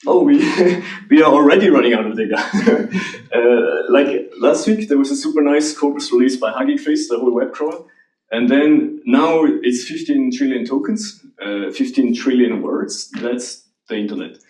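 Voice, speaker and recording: A man from Switzerland, answering audience questions about AI in a halting, restarting way. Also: medium pitch, darkish tone. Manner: halting, restarts often, laughs lightly. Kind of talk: conference Q&A. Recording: roomy hall, voice from a distance.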